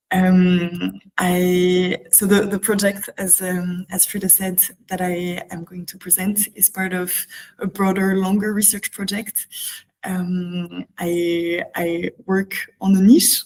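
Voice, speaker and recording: A woman giving an informal academic presentation in a hesitant, halting, self-deprecating way. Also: mid-range voice, a little mellow, low-quality recording. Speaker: researcher presenting an art residency project.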